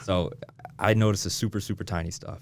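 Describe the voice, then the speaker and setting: A man's voice: low voice, fairly even intonation, brisk, no echo. A young man from Michigan, a real estate agent, chatting casually as a podcast guest and swearing now and then. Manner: laid-back, swears casually. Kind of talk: casual interview chat.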